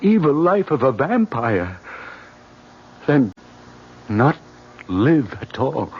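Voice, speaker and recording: An actor playing a grave, troubled, reluctant man in a radio horror drama, with a drawn-out delivery. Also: mid-range voice, big pitch swings, slightly rough, heavily acted. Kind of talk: 1970s radio drama acting. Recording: muffled old radio recording.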